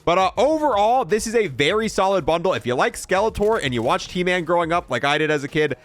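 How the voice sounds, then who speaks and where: Gaming creator: young male, informal and slangy, very varied pitch, casual gameplay review over music. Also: very animated, fast talker.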